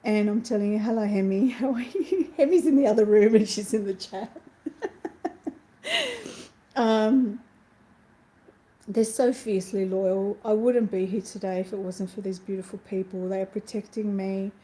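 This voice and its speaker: Tearful, gushing woman with a mellow voice. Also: medium pitch, fairly even intonation, moderate pace, long pauses. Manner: gushing, emotional, rambling with many restarts. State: tearful and sniffly. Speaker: host of a spiritual live stream. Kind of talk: casual live-stream chat.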